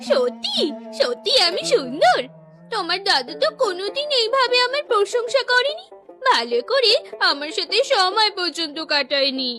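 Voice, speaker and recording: Woman voicing a very high, whiny young village wife. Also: sing-song, moderate pace, sharp tone, faint music behind, exaggerated voice. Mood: coy, coaxing. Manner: coaxing, complaining. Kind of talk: animated cartoon story dialogue.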